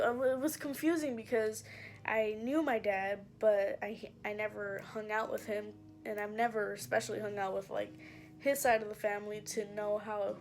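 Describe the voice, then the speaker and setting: A young woman talks in an unhurried, halting way, pausing and searching for words as she goes. It is casual, confessional vlog talk in a middle-pitched voice, with soft music playing underneath. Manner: hesitant, halting, with many pauses and fillers. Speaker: vlogger hosting own channel. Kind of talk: casual confessional chat to camera.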